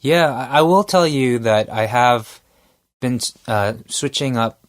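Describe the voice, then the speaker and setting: A man in his forties co-hosting a podcast, chatting casually with a relaxed, joking manner. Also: mid-range voice, takes it slowly. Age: 40s. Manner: relaxed, self-deprecating, joking. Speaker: podcast co-host. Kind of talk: casual chat.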